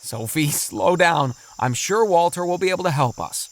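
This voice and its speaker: Animated, good-natured middle-aged dad. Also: male voice, a little high, moderate pace. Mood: calming. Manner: calming, reasoning. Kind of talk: children's audio drama acting.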